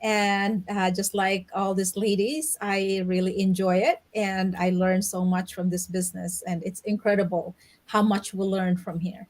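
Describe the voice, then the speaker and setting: A woman's voice: mid-range voice, level tone, unhurried. A woman from the Philippines, a cheerful guest in a live online business chat. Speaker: panel guest.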